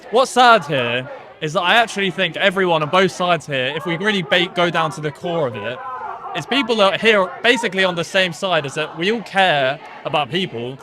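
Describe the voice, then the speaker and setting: A man's voice: sits high, animated, brisk. Agreeable man chatting amid a crowd. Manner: agreeable, sympathetic. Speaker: documentary interviewer. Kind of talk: casual conversation. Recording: outdoor street with crowd noise.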